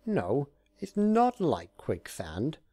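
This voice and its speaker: A mischievous male god of chaos from a cartoon story, acted out by a male reader. He gloats and taunts theatrically, pushed higher than the reader's own voice. The tone stays mellow and the recording is very dry. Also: wildly swooping pitch, drawn-out words, no room sound, exaggerated voice. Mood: smug, mocking.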